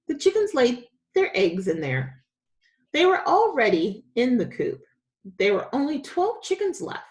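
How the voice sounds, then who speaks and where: A woman reading a children's story aloud as a teacher, with an expressive, dramatic storytelling delivery. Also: on the high side, unhurried.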